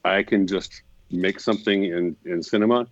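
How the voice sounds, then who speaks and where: Very deep-voiced man, slightly dull sound. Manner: halting, many fillers, easygoing. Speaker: co-host. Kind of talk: casual live-stream chat.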